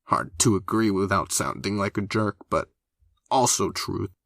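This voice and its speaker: A blunt young man who makes cutting remarks in a flat, dry way, as the narrator voices him. The voice stays low and close to the narrator's own, in a dead-dry room. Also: measured pace, vocal fry, no room sound.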